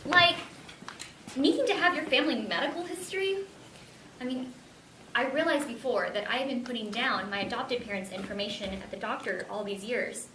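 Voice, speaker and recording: A woman's voice: on the high side, lightly acted. A woman acting an earnest adopted woman in her twenties searching for her birth mother in an amateur stage play. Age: 20s. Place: stage of a one-act play. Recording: echoey stage, distant mic, noisy.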